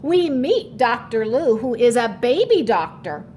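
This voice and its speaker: A woman's voice: fairly high pitch, big pitch swings, a little slow, warm tone, slight background noise. A woman reading a children's storybook aloud like a teacher, adding short explanatory asides. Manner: instructive, checks understanding with short asides.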